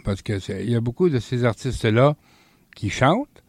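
A man's voice: mid-range voice, animated, moderate pace, no echo. A man hosting a radio interview in a studio, asking questions with a few fillers. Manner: friendly questioning, some fillers. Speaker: radio host. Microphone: studio mic.

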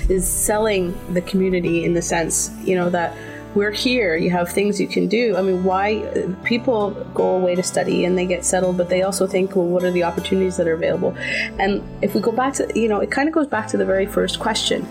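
A woman's voice: fairly low pitch, steady tone, fairly quick, gravelly, over music. A middle-aged woman whose first language is Finnish, answering interview questions in a thoughtful way, with many fillers and restarts.

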